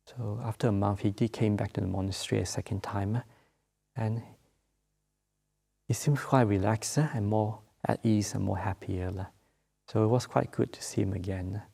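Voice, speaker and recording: A man from Malaysia with a Malaysian accent, speaking English as a second language, giving a Buddhist Dharma talk as a monk, gentle and halting, with many filler sounds. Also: fairly low pitch, fairly even intonation, creaky, slightly rough. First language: not English. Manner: gentle, unhurried teaching.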